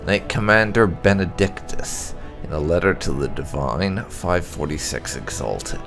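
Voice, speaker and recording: A man reading game lore aloud as scripted narration, in a measured, dramatic storytelling way. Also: low voice, animated, vocal fry. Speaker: gaming video host. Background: background music.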